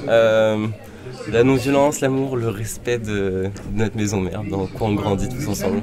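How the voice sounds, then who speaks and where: A man with a fairly low voice answers questions on the street, earnest and searching for each word. A loud crowd fills the background. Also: level tone, normal speed. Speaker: passer-by. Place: busy street outdoors.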